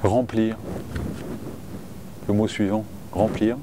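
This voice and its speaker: A man's voice: fairly low pitch, little pitch movement, slow pace. A man interviewing a writer, giving short prompts with hesitant fillers. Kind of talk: interview questions. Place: festival, recorded on location. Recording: noisy location recording.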